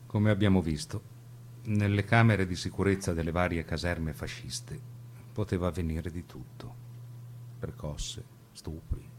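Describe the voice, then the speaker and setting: A man giving measured, grave scripted narration in the manner of a radio documentary. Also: sits low, fairly even intonation, a little hiss or hum.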